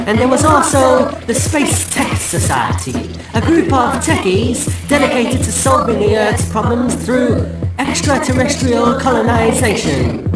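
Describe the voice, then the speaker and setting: A woman's voice: a little high, lively intonation, measured pace, hardly any pauses. A woman narrating a satirical radio drama in a wry, dry storytelling manner. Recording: echoey with loud music.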